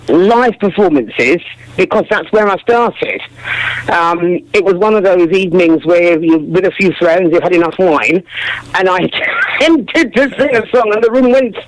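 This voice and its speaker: A woman jazz singer with a big, deep speaking voice, chatting warmly in an interview and laughing often. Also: rough recording. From family from Dominica, West Indies. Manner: warm, effusive, laughs often. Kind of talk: casual interview chat.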